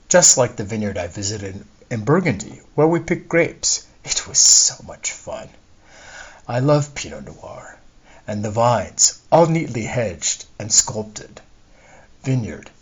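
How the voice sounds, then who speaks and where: A man's voice: medium pitch, slightly rough, voice pushed up. A man reading a literary monologue as a wistful, discontented middle-aged wife, confiding and opinionated, in his own everyday voice. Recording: dull lo-fi recording.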